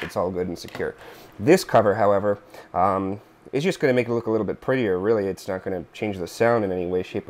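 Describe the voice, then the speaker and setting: A man's voice: on the low side, slight background noise. Laid-back man explaining a DIY fix. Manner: relaxed, thinks aloud with fillers and asides. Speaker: DIY video host. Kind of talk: casual step-by-step tutorial. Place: indoor living room, seated at a workbench board.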